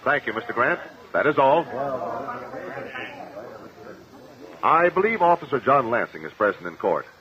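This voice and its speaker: An actor playing a shrewd, confident courtroom investigator in a 1950s radio drama, speaking formally and precisely. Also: male voice, mid-range voice, very animated, fairly quick, lightly acted. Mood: probing, formal. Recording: muffled, noisy old radio recording.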